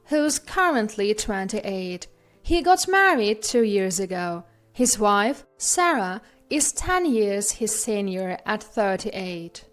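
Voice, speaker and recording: A woman reading a scripted personal story as a narrator, in a measured, deliberate storytelling manner. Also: mid-range voice.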